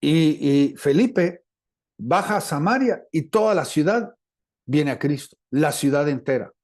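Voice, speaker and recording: A male preacher with a Latin American Spanish accent and a fairly high, mellow voice. He teaches in sermon style, speaking deliberately and circling back over phrases to stress them. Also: lively intonation. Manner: deliberate, repeats phrases for emphasis. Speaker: preacher, apostle.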